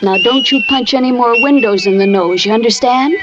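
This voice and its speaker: A woman's voice: fairly high pitch, brisk, over loud music, lightly acted. A woman playing a teasing young circus performer. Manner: coaxing, playful, motherly teasing. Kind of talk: 1940s radio drama acting. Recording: muffled old radio broadcast with hiss.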